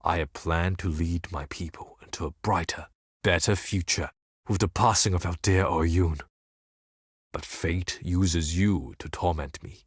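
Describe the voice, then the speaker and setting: A blind desert seer from a fantasy game, grandiose and tormented. His voice sits low and moves slowly, with a solemn, ominous weight to every formal phrase. The sound is close and dry. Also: husky, no echo. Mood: bitter. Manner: solemn, formal, ominous, deliberate theatrical delivery. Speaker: game character voice actor. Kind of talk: theatrical scripted fantasy game monologue.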